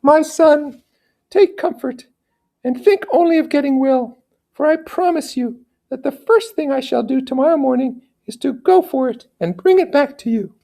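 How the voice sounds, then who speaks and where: Man doing a high-pitched gracious storybook lady. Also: normal speed, gravelly, dark tone. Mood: tender, reassuring. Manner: formal, courteous. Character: loving noblewoman mother, gracious, feminine, high-voiced. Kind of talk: storybook character reading.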